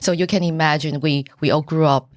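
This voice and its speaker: A middle-aged woman from Taiwan speaks English with a Taiwanese accent, Mandarin being her first language. Her voice sits low and the room is dry. She talks earnestly, with pauses and searching for words. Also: fairly even intonation, no room sound. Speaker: buyer's agent, podcast guest. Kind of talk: casual interview answers.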